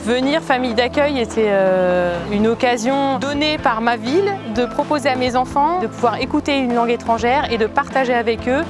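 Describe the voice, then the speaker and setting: Woman volunteer, earnest, slightly high voice, music under her. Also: normal speed, hardly any pauses. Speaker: volunteer and host parent. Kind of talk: interview.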